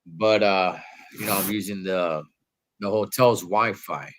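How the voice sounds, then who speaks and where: A man's voice: low-pitched, fairly even intonation, unhurried, fairly bright tone. Stuffy-nosed man chatting on a livestream. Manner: laid-back slangy talk, calls viewers dog and Holmes. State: stuffy nose from allergies. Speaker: wrestling livestream host. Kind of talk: casual livestream chat. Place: hotel room.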